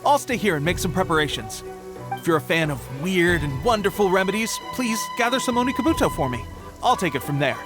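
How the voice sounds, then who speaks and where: An actor playing an eager, boastful young soldier in a video game, earnest and full of bravado. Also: male voice, high-pitched, sing-song, fairly quick, over music. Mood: upbeat. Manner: enthusiastic, storytelling bravado. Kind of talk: video game character dialogue.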